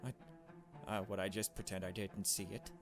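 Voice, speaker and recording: A man's voice: on the low side, little pitch movement, normal speed, music in the background, close to an everyday voice. A man voicing an anxious, hesitant young woman in a visual novel game, with a questioning manner. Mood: nervous.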